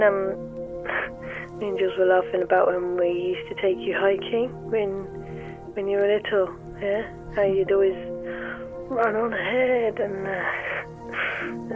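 A woman's voice: mid-range voice, phone-quality sound, natural voice. A woman playing a loving, wistful middle-aged mother in an audio drama, leaving a hesitant, tender phone message. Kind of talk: naturalistic audio drama acting. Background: music.

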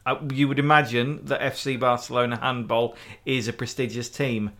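A man chatting on a podcast with a dry, deadpan delivery. His voice sits at an ordinary middle pitch and he stumbles over unfamiliar names, then laughs them off. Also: normal speed. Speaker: podcast co-host. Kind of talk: casual chat.

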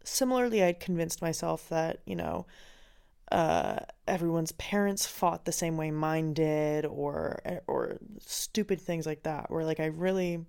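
Podcast host, woman, low register, casual and reflective solo talk, filler-heavy and unscripted. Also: big pitch swings, measured pace, vocal fry, a little mellow, smooth. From Finland. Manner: candid and reflective, with frequent "like" and "um" fillers. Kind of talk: casual personal monologue.

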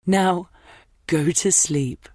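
A man voicing a calm, wise female alien shapeshifter in a soft, slow, serene way. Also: high-pitched, dry recording, studio quality, voice pushed up, exaggerated voice.

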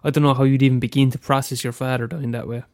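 A man's voice: mid-range voice, flat delivery, fast, darkish tone, no room sound, studio quality. A young Irish man with a head cold, reading aloud a short story he wrote, after a hesitant casual introduction. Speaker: amateur storyteller.

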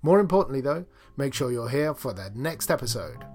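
A man's voice: mid-range voice, big pitch swings, brisk. A man reading a scripted closing announcement for a podcast. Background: music.

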